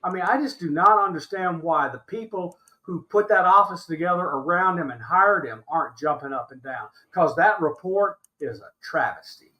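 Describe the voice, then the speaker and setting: An American man in his sixties with a fairly high voice for a man. He talks as an impassioned podcast guest, exasperated and emphatic, often repeating a phrase to drive it home. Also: expressive, normal speed, few pauses, bright, forceful tone, low-quality recording. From Alabama, United States. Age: 60s. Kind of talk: impassioned interview commentary.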